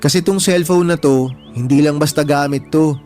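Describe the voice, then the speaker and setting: Boyish, high-voiced Filipino little brother, man acting. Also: moderate pace, mellow, faint music behind, lightly acted. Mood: sentimental. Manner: boyish, earnest. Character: affectionate younger brother Dylan, boyish, grateful and pleading. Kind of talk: Filipino radio drama acting.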